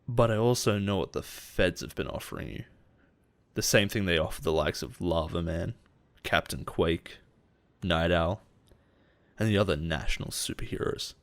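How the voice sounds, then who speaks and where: A man acting as a low-voiced, possessive CEO boss. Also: normal speed. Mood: grave, protective. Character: possessive, persuasive male CEO boss, smooth and protective. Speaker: voice actor in a roleplay audio. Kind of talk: intimate dramatic roleplay monologue.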